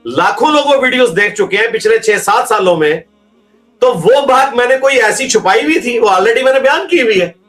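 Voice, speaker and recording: A man's voice: very high-pitched, animated, normal speed, fairly bright tone, faint music behind. Argumentative Pakistani man with a raised voice. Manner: argumentative, emphatic, asks rhetorical questions. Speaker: religious scholar answering questions. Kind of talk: conversational question-and-answer talk.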